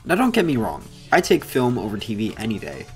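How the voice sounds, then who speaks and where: A man's voice: fairly low pitch, wildly swooping pitch, fairly quick, soft background music. A man hosting a video essay, reading scripted commentary in an informal, opinionated way.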